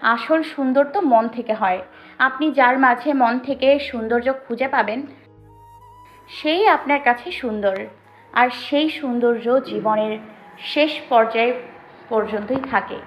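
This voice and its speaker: A woman plays a modest young wife in an audio story, gentle and earnest. Her voice is somewhat high, with light music underneath. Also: measured pace, lightly acted. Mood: shy, earnest. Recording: music behind the voice.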